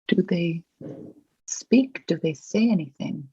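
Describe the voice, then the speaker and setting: A person leading a guided visualization, asking gentle, measured questions with long pauses between them. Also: medium pitch, slightly rough, no echo, highs cut off.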